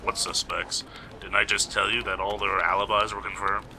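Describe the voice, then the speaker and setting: A gruff police investigator in a radio murder mystery, played by a man. His very low, creaky voice grumbles tersely through a noisy home recording. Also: little pitch movement, normal speed, lightly acted. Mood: irritated. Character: gruff, put-upon male police investigator. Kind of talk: amateur radio play acting.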